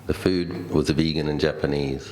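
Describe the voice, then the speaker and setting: A middle-aged Australian man, a Buddhist monk, giving a conversational, reflective dhamma talk built on personal stories, with thoughtful pauses. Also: rumbling low, steady tone, a little mellow, slight background noise.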